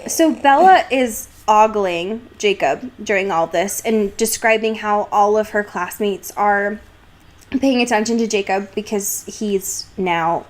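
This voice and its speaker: A woman's voice: medium pitch, unhurried, slight background noise. A woman co-hosting a casual book discussion podcast, talking in an irreverent, sarcastic, sweary way. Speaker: podcast co-host.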